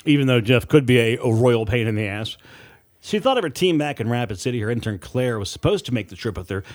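A man's voice: medium pitch, very animated, quick pace. Man narrating a horror audiobook, dramatic delivery.

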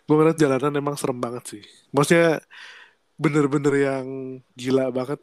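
A man's voice: medium pitch, moderate pace, no room sound. Young Jakarta man, slightly nasal, dry sound. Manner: relaxed slangy Jakarta chatter with frequent fillers. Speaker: podcast co-host. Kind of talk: casual chat.

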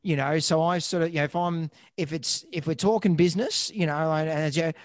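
A man co-hosting a vet podcast, an animated, chatty storyteller with a slightly squeaky voice and many restarts. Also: brisk, no echo, clean studio sound. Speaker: veterinarian podcast co-host. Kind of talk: casual chat.

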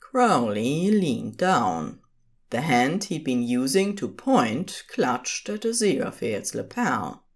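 A woman with a very low voice narrates a story from a script. Her delivery is slow and measured, with lively movement in the pitch. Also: breathy edge.

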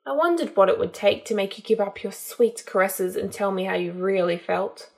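A woman's voice: mid-range voice, moderate pace, creaky, darkish tone, lightly acted. A woman voicing a disdainful, irritated young wizard man in an audiobook, curt, taunting and snarling. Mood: cold, taunting. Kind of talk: dramatised audiobook narration.

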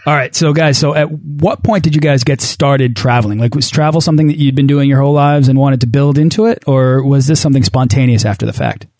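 A man's voice: mid-range voice, fairly quick, few pauses, darkish tone, no echo. A man hosting an interview, encouraging his guests and asking questions with hedging fillers. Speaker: host. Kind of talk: podcast interview.

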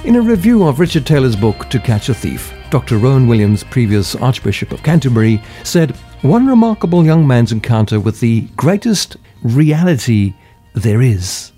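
A male talk show host reads his scripted introduction at an even, measured pace. His pitch rises and falls a lot, and his tone is mellow and soft. Faint music sits under his voice.

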